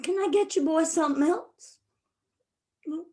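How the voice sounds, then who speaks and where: An actress playing a wistful, emotional rural sister with a folksy Southern US drawl in a stage play. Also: female voice, very high voice, a little slow, mellow. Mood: wistful, nervous.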